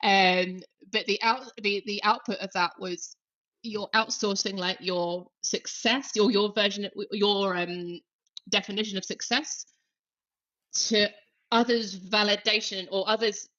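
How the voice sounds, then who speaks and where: A woman's voice: mid-range voice, fairly even intonation, a little slow, bright, forceful tone, dull audio. A woman guest on a podcast, talking casually with lots of fillers and restarts. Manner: chatty, many fillers and self-corrections.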